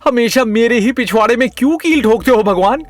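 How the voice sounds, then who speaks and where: A man playing a hot-headed, foul-mouthed, panicky young man in an audio comedy drama, loud and exasperated. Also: very high-pitched, lively intonation, fairly quick, soft background music. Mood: exasperated, angry.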